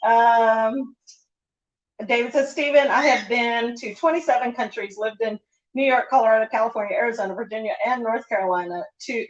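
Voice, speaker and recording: Woman on a home livestream reading chat, laughs a lot, kinda high voice, echoey dull room sound. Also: moderate pace. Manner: laughs often, reads chat comments aloud. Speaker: livestream co-host reading viewer comments. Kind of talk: casual chat.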